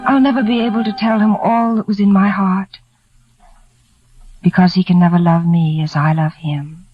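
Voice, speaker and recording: An actress playing a shy, fearful, devoted young bride, wistful and emotional, in a 1940s radio drama. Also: female voice, mid-range voice, fairly even intonation, moderate pace, natural voice. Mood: yearning. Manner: wistful, hushed, emotional delivery. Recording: dull old 1940s radio recording with music.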